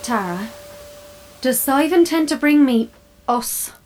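An opinionated household fridge with a mind of its own in an audio drama. The voice is on the high side, proud and fussy, with a persuasive, nurturing streak. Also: female voice, animated, slow pace. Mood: anxious, indignant.

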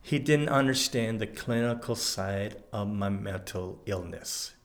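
Guest: middle-aged man, French Canadian-sounding accent, halting aphasic delivery, candid testimony with frequent pauses and fillers. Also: on the low side. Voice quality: halting aphasic speech after a stroke. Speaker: guest, former pastor. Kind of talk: personal testimony in an interview.

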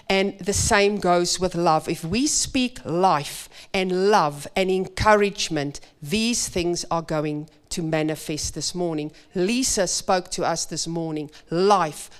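A woman preaching in church, her Afrikaans. She speaks very slowly and with emphasis, in a smooth, clear voice that sits a little low for a woman. Her delivery is earnest and measured. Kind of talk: sermon.

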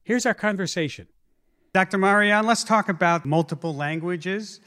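Radio host with a higher male voice, dry sound. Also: sharp tone, no room sound. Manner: measured, scripted introductions. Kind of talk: hosting a live stage interview. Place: theater stage before a live audience.

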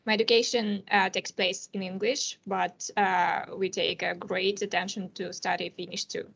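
A nineteen-year-old woman from Russia, a native Russian speaker with a Russian accent, answering interview questions as a student guest, careful and often pausing to hesitate. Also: mid-range voice, flat delivery, gravelly, a bit bright, slightly rough, dull audio. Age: teens.

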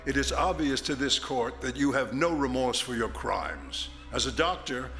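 A man's voice: mid-range voice, pushed and bright. A man playing a stern, condemning judge in an audio drama, speaking in solemn, grandiose oratory. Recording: long hall reverb, music behind.

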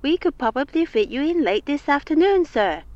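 Woman playing a high, singsong receptionist. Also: sing-song, normal speed, darkish tone, no echo, muffled recording. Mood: polite, chirpy. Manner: polite, singsong customer-service patter. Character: chirpy, scripted female receptionist at a state office. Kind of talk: audio drama acting.

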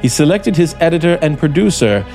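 An actor playing an embittered, desperate scriptwriter with a gun, slow and menacing in 1950s radio suspense style. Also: male voice, fairly high pitch, sing-song, gravelly. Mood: bitter, brooding. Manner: menacing, slow and deliberate, dramatic pauses. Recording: 1950s radio broadcast, music behind.

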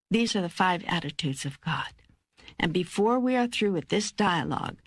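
A woman narrating an audiobook, voicing God as a serene, patient, all-knowing teacher in a measured, deliberate, emphatic way. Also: mid-range voice, slightly rough, dry recording, clean studio sound. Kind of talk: scripted audiobook reading of spiritual dialogue.

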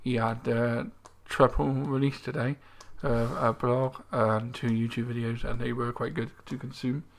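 A man's voice: medium pitch, little pitch movement, a little slow, creaky. A man talking casually as a podcast co-host. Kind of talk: casual chat.

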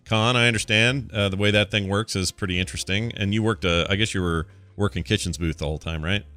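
Casual male morning-show host, lower-pitched and bright in tone. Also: fast talker.

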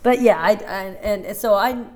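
A woman in her fifties, a dancer, chatting in an interview and telling animated stories with many restarts and asides. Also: medium pitch, fairly quick, a little hiss or hum. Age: 50s. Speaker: interview guest, Broadway dancer. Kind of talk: casual interview chat.

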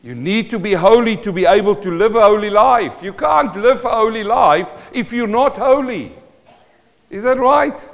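A man's voice: high-pitched, moderate pace, creaky. A South African-born man preaching a sermon, emphatic and full of rhetorical questions to the congregation. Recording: long hall reverb.

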